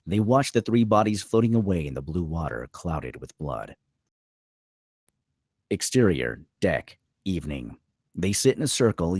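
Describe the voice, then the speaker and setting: A man's voice: low-pitched, a little mellow, breathy edge, no room sound, studio quality. A man narrating screenplay stage directions at a table read, reading scripted scene descriptions.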